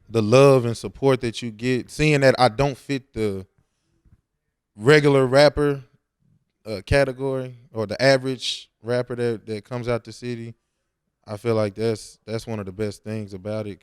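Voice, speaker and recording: A man's voice: fairly low pitch, darkish tone, clean tone, no echo, clean studio sound. A young man, talking casually and slangily as a rapper in an interview. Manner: informal, slangy.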